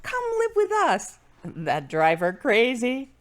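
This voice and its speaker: Actress doing an old mom in audio drama, teasing and naggy but sweet, slow, really up and down. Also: female voice, fairly high pitch, wildly swooping pitch, heavily acted. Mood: fussy, playful. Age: older. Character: playful, nagging, affectionate elderly mother.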